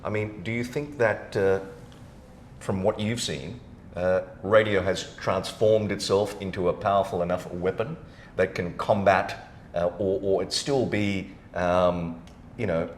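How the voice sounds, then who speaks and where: A man's voice: steady tone, unhurried. A man hosting a podcast, asking winding, hesitant questions in a conversational style with repeated words, recorded in a roomy space. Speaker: podcast host. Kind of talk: conversational interviewing. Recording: roomy echo, noticeable rumbling background noise.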